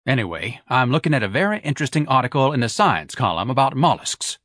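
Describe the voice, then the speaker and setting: A man's voice: medium pitch, big pitch swings, quick pace, a bit bright, no room sound, clean studio sound, voice pushed up. A man voicing a pompous, lazy grown man who speaks with haughty, elaborate, condescending diction.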